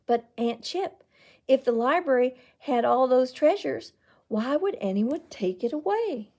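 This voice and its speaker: A woman's voice: high-pitched, expressive, measured pace, warm tone, heavily acted. A woman voicing a curious, earnest young boy in a storybook, with a wondering, eager manner.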